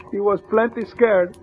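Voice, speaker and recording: A man's voice: high voice, exaggerated voice. A man playing a chatty, inquisitive young elevator operator in a 1940s radio crime drama, eager and a little nervous. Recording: dull, muffled vintage radio recording.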